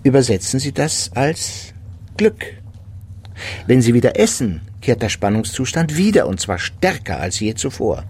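An actor playing a middle-aged psychiatrist in a German radio drama, explaining in a measured, clinical, matter-of-fact way. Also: male voice, mid-range voice, sing-song, a little mellow, husky, exaggerated voice. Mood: explanatory, composed.

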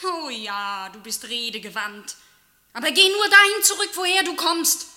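A fierce young woman in a radio drama, proud and protective. Her voice is high and bright, with an emphatic, declamatory delivery full of defiance. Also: expressive, normal speed, heavily acted. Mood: scornful, defiant. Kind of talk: literary radio play acting.

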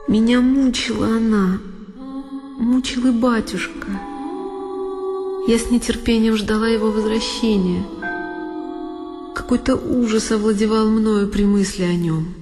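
An actress playing a frightened young girl who recalls a dreadful night in a measured, literary audio drama narration. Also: medium pitch, lightly acted. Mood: anxious, hushed. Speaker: solo performer of an audio drama. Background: music behind the voice.

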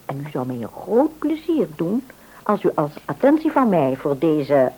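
An actress playing a cordial, insistent woman shop owner in a Dutch radio play, formal and brisk. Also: low voice, very animated, a little mellow, breathy edge, exaggerated voice. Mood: kindly insistent.

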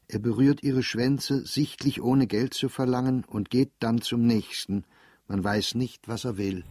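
A man reading a first-person diary as a scripted radio piece, in a measured, calm and detached way, recorded close in a studio. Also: fairly low pitch, breathy edge, no room sound.

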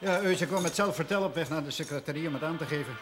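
A man's voice: fairly high pitch, rapid-fire, noisy background, natural voice. A man acting a pompous, disapproving village schoolmaster in a period television drama, sounding incredulous and easily scandalised. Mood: matter-of-fact.

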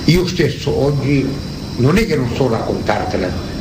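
An older man gives halting oral testimony in an echoey, noisy field recording. Birds can be heard behind him. His voice sits at a middling pitch as he searches for his words and corrects himself. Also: very animated. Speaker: former coal miner. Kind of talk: spoken recollection, oral testimony.

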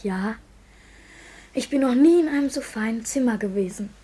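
A woman's voice: fairly high pitch, expressive, moderate pace, a crowd in the background, close to an everyday voice. A woman playing a timid, earnest, polite orphan boy in a 1950s German radio play. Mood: wondering.

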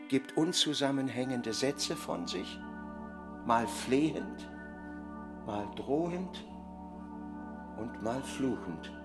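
A man voicing a deferential, composed secret-service commander in a radio drama, reporting in a measured, factual way. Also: a little high, gravelly.

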